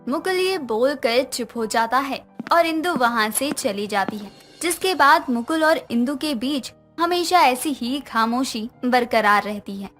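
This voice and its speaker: A woman's voice: sits high, expressive, a little slow, hardly any pauses, a bit bright, soft background music. A woman reading scripted narration of a family moral story.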